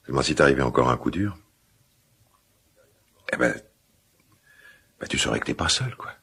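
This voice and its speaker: An actor playing a middle-aged father in a radio drama, guilt-ridden and awkwardly tender, speaking hesitantly in a gravelly smoker's voice. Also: extremely low pitch, lively intonation, pushed and bright, exaggerated voice. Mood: pained, tender. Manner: hesitant, halting, confiding. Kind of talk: naturalistic French radio drama dialogue.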